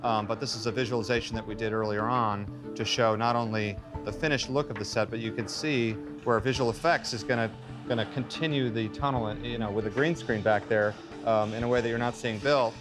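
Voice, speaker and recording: A man's voice: mid-range voice, steady tone, a little fast. A middle-aged man giving an informal, slightly hesitant explanatory walkthrough of a film set. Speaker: film production designer. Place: large unfinished film set, indoors. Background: music.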